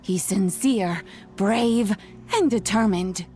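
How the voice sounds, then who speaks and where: A woman acts out an elderly game granny who takes her time over every phrase. She is kindly, proud and affectionate, with a gentle aged voice. Soft music plays underneath. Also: medium pitch, natural voice. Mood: proud, fond. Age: older. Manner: unhurried, affectionate storytelling tone. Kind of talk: video game voice acting.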